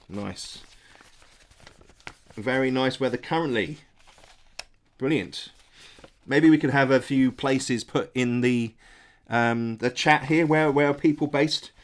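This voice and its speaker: A middle-aged man with a congested, nasal voice from a cold talks casually to his live-stream viewers. He speaks at a middling pitch, halting often with ums and restarts, and stays friendly as he reads their messages. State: stuffy nose from a cold. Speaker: game designer hosting a live stream.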